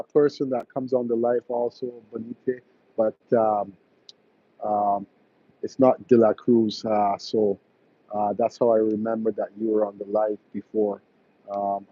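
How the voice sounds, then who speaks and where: A man's voice: lowish voice, breathy edge, dry recording, lo-fi sound. A man from Barbados, an electrician hosting a live stream, chatting casually with viewers and full of fillers and restarts. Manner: hesitant, many fillers and restarts.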